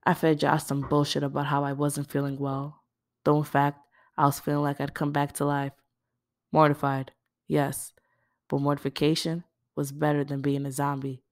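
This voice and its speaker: A woman's voice: low voice, completely flat delivery, moderate pace, no room sound, clean studio sound. A woman reading a first-person novel aloud as scripted narration. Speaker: audiobook reader.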